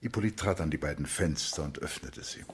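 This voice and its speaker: Very deep-voiced male storyteller. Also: expressive, brisk, slight background noise. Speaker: narrator. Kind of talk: scripted literary radio play narration.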